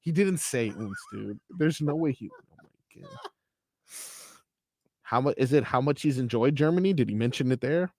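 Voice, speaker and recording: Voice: medium pitch, lively intonation, moderate pace, pauses often, dark tone, no echo. A sports radio co-host chatting in a giggly, teasing way from the studio. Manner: giggly, teasing, laughs often. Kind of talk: casual radio banter. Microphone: studio mic.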